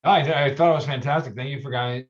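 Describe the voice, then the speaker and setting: A man's voice: medium pitch, steady tone, fast talker. A man speaking as a guest over a video call, hesitant and stammering. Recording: dull lo-fi call audio.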